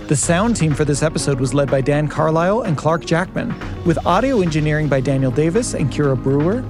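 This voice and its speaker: A man co-hosting a family audio story podcast, announcing and discussing. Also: fairly high pitch. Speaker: podcast co-host.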